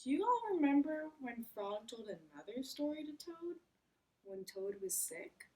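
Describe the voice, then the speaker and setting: A woman's voice: high-pitched, big pitch swings, measured pace, a little mellow. A woman teacher leading a read-aloud lesson, speaking expressively to children and putting questions to them. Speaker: elementary school teacher. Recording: voice far from the mic.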